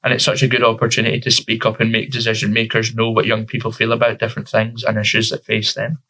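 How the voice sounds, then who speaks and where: Teenage Scottish lad, flat delivery. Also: male voice, on the low side, fast. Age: teens. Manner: relaxed, informal with frequent fillers. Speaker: youth councillor guest. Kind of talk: casual interview answers.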